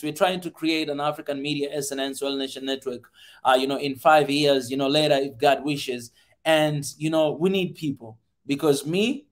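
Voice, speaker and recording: Energetic man hosting a livestream. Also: fairly high pitch. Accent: Tanzanian English. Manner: energetic, addresses viewers directly, repeats words. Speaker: livestream host. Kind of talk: casual livestream talk.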